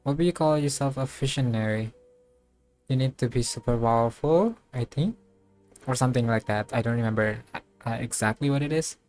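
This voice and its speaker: A man's voice: medium pitch, darkish tone. Man musing through game commentary, music behind. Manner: musing aloud, thinking through things haltingly. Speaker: game streamer. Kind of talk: casual playthrough commentary.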